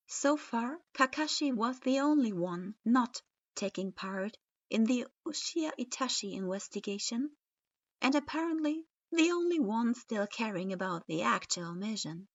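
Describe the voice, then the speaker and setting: A woman reads a comic story aloud slowly and deadpan, in a voice a bit higher than average. The sound is close and dry, with a slightly dull, lo-fi tone. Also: dry recording. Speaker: fanfiction reader. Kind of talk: reading a comedic story aloud. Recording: dull lo-fi recording.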